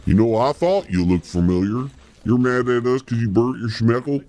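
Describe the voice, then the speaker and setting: A man playing a crude, laconic cartoon tough guy with deadpan, folksy wit. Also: medium pitch, normal speed, close to an everyday voice. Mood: annoyed. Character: laconic, crude, hard-drinking tough guy of misfit crew.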